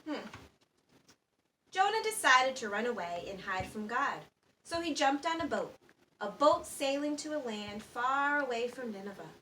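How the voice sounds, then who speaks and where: A woman's voice: a little high, big pitch swings, normal speed, bright, forceful tone, echoey room. A woman reading a children's story aloud expressively, with sound effects and questions to her listeners. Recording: distant microphone with faint background noise.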